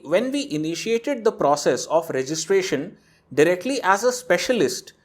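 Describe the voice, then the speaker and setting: A man hosting a channel, reading out a viewer's emailed story and breaking in with his own asides. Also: high-pitched. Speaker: host reading a viewer's letter. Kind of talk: narrated reading with commentary.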